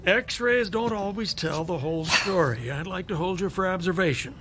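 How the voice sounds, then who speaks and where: An actor playing a long-suffering older doctor in a radio drama, dry, eloquent and sardonic. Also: male voice, high-pitched, normal speed, background noise, natural voice. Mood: wry, firm.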